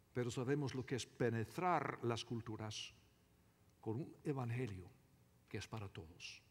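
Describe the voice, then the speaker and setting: A man preaching a sermon as a pastor, emphatic and deliberate, often repeating his phrases. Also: medium pitch, slightly rough. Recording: reverberant hall with long echo.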